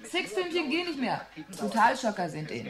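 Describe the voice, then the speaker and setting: An actress playing a sceptical, dismissive wife in a radio play. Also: female voice, a little high, sing-song, fairly quick, a crowd in the background. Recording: roomy echo, music behind.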